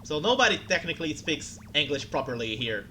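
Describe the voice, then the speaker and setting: Excitable male streamer. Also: a little high, fairly quick, a little hiss or hum. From Brazil. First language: Portuguese. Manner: excitable, flustered, chatty. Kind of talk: live game commentary and chat.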